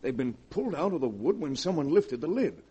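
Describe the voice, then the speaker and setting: An actor playing a determined doctor in a 1940s radio drama, giving urgent commands. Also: male voice, fairly high pitch, sing-song, a little fast, warm tone, slightly rough. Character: determined male doctor opening a coffin. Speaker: doctor character.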